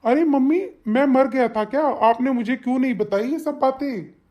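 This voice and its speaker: A woman's voice: normal speed, dark tone. A woman voicing a devoted, protective adult son in a melodramatic family cartoon, with an emphatic, insistent manner. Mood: upset, indignant. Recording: dull, low-fidelity sound.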